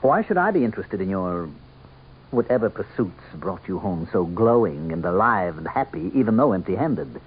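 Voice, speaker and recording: Sly campaign manager, mock-courteous, swooping intonation, pointed radio drama delivery, tinny vintage broadcast. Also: male voice, sits low, very animated, moderate pace, slight background noise. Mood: sly, probing. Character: sly, insinuating male political campaign manager, secretly the Devil.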